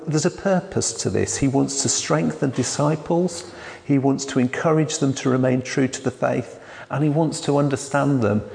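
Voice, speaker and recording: A man, a church elder giving a sermon in a quiet, hesitant way with many restarts. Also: medium pitch, gravelly. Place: church hall. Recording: long hall reverb.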